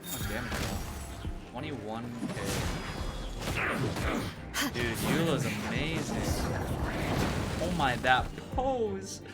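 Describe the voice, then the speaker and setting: A man's voice: mid-range voice, very animated, slow pace, pauses often. A young man reacting casually as he streams gameplay, talking in slangy, enthusiastic exclamations. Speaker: game streamer. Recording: loud game music and effects behind.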